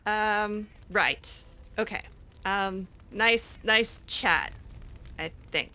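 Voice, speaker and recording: Bright, hesitant woman roleplaying a game character. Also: medium pitch, extremely slow. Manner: hesitant and rambling, with many fillers and restarts, a bit snarky. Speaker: gaming roleplay host.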